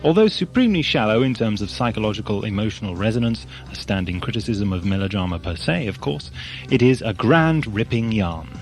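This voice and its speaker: A man's voice: lowish voice, lively intonation, brisk, soft background music. A man reading scripted letters aloud on a magazine programme. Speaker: reader of listeners' letters.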